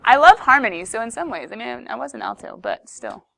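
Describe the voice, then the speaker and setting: A woman's voice: fairly high pitch, big pitch swings, a little fast, vocal fry, crowd noise. Playful woman teaching a math class. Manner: playful, chatty, with sudden exclamations.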